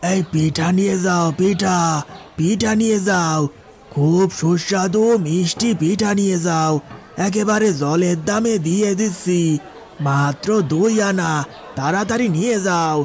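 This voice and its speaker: A man's voice: high voice, measured pace. A man acts out an older street seller of village sweets in a moral-story cartoon. He is shrewd and paternal, a practised hawker who bargains. Faint music runs behind the voice. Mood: hawking.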